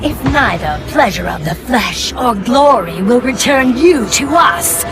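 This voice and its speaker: Menacing, expressive vengeful Fury. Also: female voice, on the high side, music in the background. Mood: taunting, menacing. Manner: theatrical, taunting, deliberate. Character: menacing, seductive female Fury, proud and vengeful. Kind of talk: dramatic video game acting.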